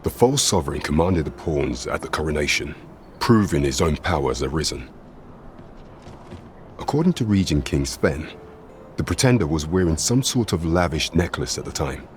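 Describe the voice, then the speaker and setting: Fantasy game royal advisor: very deep, grave, measured and courtly, archaic diction, slight ambient noise behind. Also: male voice, lively intonation. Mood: grave, deliberate. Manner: formal, deferential, measured.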